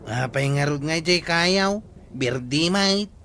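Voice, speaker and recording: A man's voice: fairly high pitch, slow pace, fairly bright tone. A man playing a cheeky, skeptical villager who teases and argues, with an Ilocano accent, in a comedic radio drama. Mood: mocking, questioning. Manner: teasing, argumentative. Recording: faint noise and ambience.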